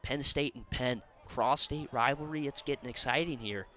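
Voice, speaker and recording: A man's voice: medium pitch, normal speed, sharp tone. A man giving live sports analysis as a color commentator.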